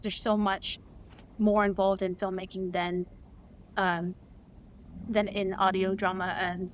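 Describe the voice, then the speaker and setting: A woman's voice: medium pitch, flat delivery, slow pace. A woman guest on an interview, an audio drama creator, speaking enthusiastically with frequent ums. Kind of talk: casual interview answer.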